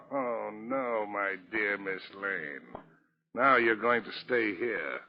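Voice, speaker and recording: An actor playing a crime boss who poses as a respectable citizen, polite and menacing, in 1940s radio style. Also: male voice, on the low side, slow. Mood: smugly menacing. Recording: dull lo-fi old radio recording.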